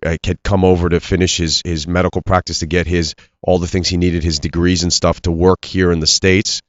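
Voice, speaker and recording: A man's voice: low voice, smooth, dry recording, highs cut off. A middle-aged man from Iran, raised in Pennsylvania, talking earnestly in an interview with many restarts. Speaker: actor guest. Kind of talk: interview conversation.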